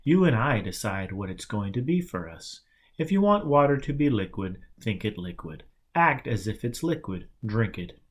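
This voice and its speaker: Man reading as calm, teasing male mystic teacher. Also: on the low side, normal speed. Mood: calm, instructive. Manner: instructive, short commands.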